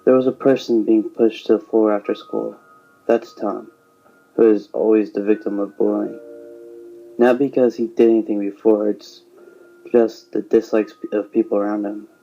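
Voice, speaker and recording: A man's voice: lowish voice, level tone, normal speed, creaky. A man narrating a scripted story in the third person, on a lo-fi recording. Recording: dull lo-fi sound, music behind.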